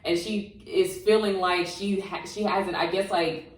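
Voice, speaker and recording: Animated Southern woman in her twenties. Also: lowish voice, a little slow, distant mic. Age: 20s. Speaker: podcast co-host. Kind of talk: casual chat. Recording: echoey room, voice off-mic.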